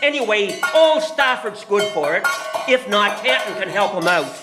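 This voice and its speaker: A man's voice: sits high, very animated, moderate pace. An actor playing a rowdy, cheeky male wedding crasher in a historical audio drama, with a brash, taunting, folksy manner. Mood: brash, scornful. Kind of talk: historical radio drama acting. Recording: echoey, music and clanging pots behind.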